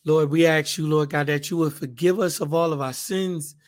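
A man with a hoarse, raspy voice, hosting a casual Bible podcast and leading a fervent spoken prayer. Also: on the high side, fairly even intonation, moderate pace. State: hoarse, raspier than usual.

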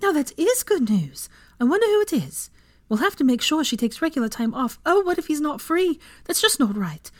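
A woman voicing a fiery, excitable young wife with a forceful manner, in an audiobook story. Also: high-pitched, fairly quick, few pauses, no echo, clean studio sound, pitched above the performer's own voice. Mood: excited. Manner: forceful, indignant.

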